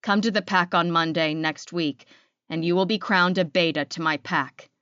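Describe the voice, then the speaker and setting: A woman's voice: fairly low pitch, steady tone, normal speed, a bit bright, clean tone, no echo, lo-fi sound, close to an everyday voice. A woman voicing a commanding male werewolf pack leader in an audiobook, curt and authoritative. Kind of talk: audiobook character acting.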